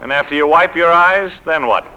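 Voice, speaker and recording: An actor playing a put-upon, plaintive American publicity director who wisecracks in a 1940s radio comedy. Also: male voice, on the high side, moderate pace, sharp tone, clear, resonant tone, dull audio. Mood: skeptical. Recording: old 1940s radio recording.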